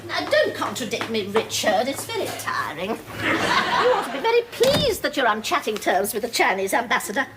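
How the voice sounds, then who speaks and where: An actress playing a pretentious, domineering middle-aged English housewife in a sitcom, with an affected, plummy voice. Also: female voice, a little high, wildly swooping pitch, normal speed, very noisy. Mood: bossy. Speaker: sitcom character.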